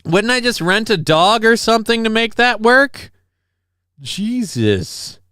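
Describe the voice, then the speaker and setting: A man's voice: high-pitched, sing-song, takes it slowly, pushed and bright, clear, resonant tone, no echo, clean studio sound. A man hosting a casual live stream from a home setup on a desk mic, joking crudely and reading viewer comments aloud. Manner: mock-grand war speech delivery. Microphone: desk microphone.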